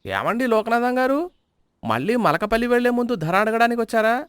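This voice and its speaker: A man's voice: very high-pitched, expressive, brisk, fairly bright tone, clear, resonant tone, no room sound, studio quality. A man voicing a teasing village shopkeeper who mocks a customer. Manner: mocking, teasing.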